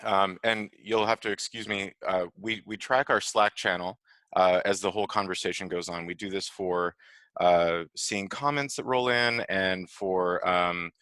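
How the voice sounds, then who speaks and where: Very deep-voiced male host, dry sound. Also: steady tone, a little slow, sharp tone, clean tone, no room sound. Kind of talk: moderating an online panel discussion. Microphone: video call.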